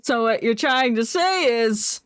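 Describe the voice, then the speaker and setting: A woman's voice: on the high side, lively intonation, a little slow, a bit bright, no room sound, studio quality. A woman game streamer giving casual, playful running commentary and jokes during a playthrough. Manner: joking, playful. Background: faint game music.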